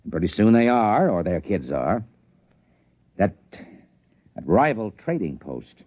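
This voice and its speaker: An actor playing a shrewd, wary trading-post boss in a 1950s radio drama, folksy and musing. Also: male voice, fairly low pitch, sing-song, a little slow. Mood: shrewd, scheming. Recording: muffled old radio recording.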